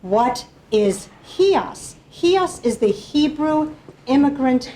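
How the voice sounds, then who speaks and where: A woman's voice: high-pitched, animated, slow pace, mellow, slight background noise. A woman speaking as a panelist, giving an academic lecture with slides in an explanatory way, often checking in with the audience.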